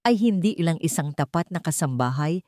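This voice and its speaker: A woman narrator with a Filipino accent delivers a scripted, dramatic story. Her voice sits a little low for a woman, recorded close and dry with no room sound. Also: animated, fairly quick, dark tone, no echo.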